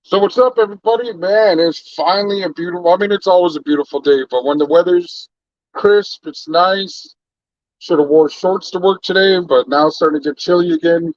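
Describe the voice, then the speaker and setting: A man's voice: sits high, brisk, no echo, muffled recording. Laid-back man rambling on a livestream. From Canada. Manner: laid-back, rambling, shouts out viewers by name. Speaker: livestream host. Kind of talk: casual livestream chat.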